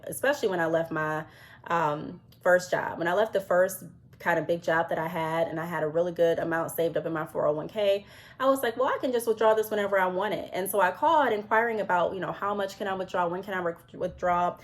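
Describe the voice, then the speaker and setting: A woman in her thirties with a somewhat low voice, audibly congested and under the weather. She talks to camera in a loose, chatty way, full of ums, and reads from her notes now and then. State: congested, sick with a cold. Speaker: business vlogger. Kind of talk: casual advice talk to camera.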